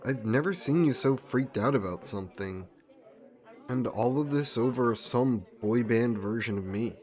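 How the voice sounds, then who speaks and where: A man playing a soft yandere god who poses as a childhood friend, gentle, coaxing and evasive in an intimate roleplay audio drama. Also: medium pitch, measured pace, close to an everyday voice. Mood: casual, skeptical.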